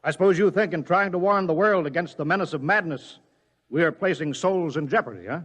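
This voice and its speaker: An actor playing a tense, commanding observatory director in a radio drama, urgent and authoritative. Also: male voice, fairly high pitch, a little fast, a bit bright. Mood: indignant. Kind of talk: mid-century radio drama acting.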